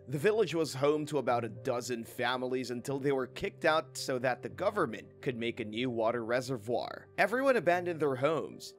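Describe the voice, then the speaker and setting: A man giving scripted documentary-style narration for a countdown video, punching up each numbered heading. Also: mid-range voice. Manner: emphatic on countdown headings, upbeat and even. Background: soft background music.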